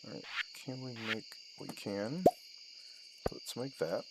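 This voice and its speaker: A man giving casual commentary on a game he is playing, muttering and thinking aloud in short fragments over loud noise and clicks. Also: on the low side, a little slow, gravelly. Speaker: gaming video host.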